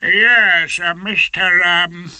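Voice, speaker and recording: Posh english judge, way high fluty pitch, fussy and hesitant, sharp, storybook character. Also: male voice, steady tone, very slow, smooth, no room sound, exaggerated voice. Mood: vague, hesitant. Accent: upper-class English. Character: dithering, pompous upper-class male English judge.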